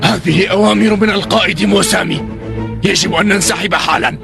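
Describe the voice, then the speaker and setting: A man's voice: high voice, steady tone, quick pace, gravelly, music in the background. A man voicing a loyal, cautious officer who earnestly argues with his hot-headed commander in a dubbed cartoon. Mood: urgent. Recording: background score under voice.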